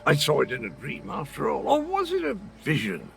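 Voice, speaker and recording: Whimsical, high-pitched, swooping mystic. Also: male voice, wildly swooping pitch, fairly quick, gravelly, heavily acted. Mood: cheerfully muddled. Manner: theatrical, rambling, airy. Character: whimsical, dotty male portal-hopping mystic, amiably muddled. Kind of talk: video game character acting.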